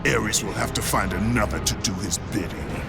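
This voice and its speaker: A man voicing a grim, defiant Spartan warrior in a video game, gravelly, terse and slow. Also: fairly low pitch, loud music behind the voice. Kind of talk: cinematic video game acting.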